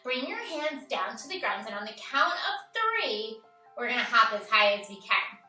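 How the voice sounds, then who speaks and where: A woman with a high, very lively voice guides little kids through a story and movement lesson. She gives simple, encouraging, sing-song prompts and leaves room to copy her. She is a little away from the mic in an echoey room. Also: normal speed, faint music behind. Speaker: children's yoga and story time host. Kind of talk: interactive read-aloud and movement lesson for young children. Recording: roomy echo, distant mic.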